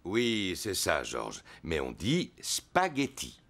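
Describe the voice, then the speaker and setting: Cartoon father pig: jovial, bumbling, encouraging, hugely animated delivery. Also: sits low, slow, heavily acted. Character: good-natured, slightly bumbling daddy pig. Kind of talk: French-dubbed preschool cartoon acting. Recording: music behind the voice.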